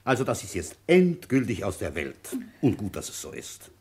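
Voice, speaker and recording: A man playing an ailing, dogged police inspector in his fifties in a radio crime drama, weary and blunt, with a husky voice. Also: on the low side, wildly swooping pitch, moderate pace, a little mellow, heavily acted. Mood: firm, matter-of-fact. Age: 50s. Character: ailing, dogged French village police inspector, fifty-five. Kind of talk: German radio crime drama acting and first-person narration.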